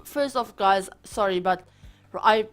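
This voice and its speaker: Hoarse, chatty woman gamer. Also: medium pitch, takes it slowly, faint music behind. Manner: chatty, apologetic, lots of fillers. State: hoarse, raspy voice. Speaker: gaming channel host. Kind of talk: casual voice-over commentary. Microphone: home voice-over mic.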